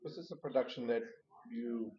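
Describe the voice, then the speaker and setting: A man's voice: mid-range voice, normal speed. A man working as a reporter, putting questions in a press interview. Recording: muffled, noisy crowd.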